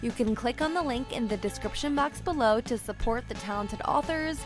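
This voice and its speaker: A woman's voice: a little high, a little fast, over music. A woman narrating a children's storybook expressively, with playful, dramatic emphasis. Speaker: children's book read-aloud presenter.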